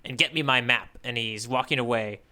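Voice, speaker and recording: A man's voice: medium pitch, fairly quick, pushed and bright. A man co-hosting a podcast in casual chat, wry and often stopping to correct himself. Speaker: podcast co-host.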